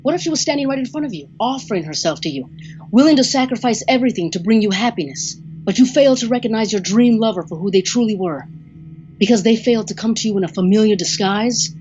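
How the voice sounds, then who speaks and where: A woman playing a courtly, probing captor in an audio drama, speaking formally and deliberately with a theatrical, wistful politeness. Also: on the high side, animated, darkish tone, muffled recording, slight background noise, close to an everyday voice.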